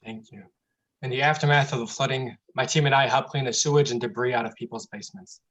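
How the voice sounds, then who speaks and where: A man candidate races through a prepared speech at a quick clip. His voice is mid-pitched, and the recording is a little dull and muffled as he lays out stories and examples. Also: steady tone, a bit bright. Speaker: mayoral candidate giving closing statement. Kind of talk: prepared campaign speech with storytelling.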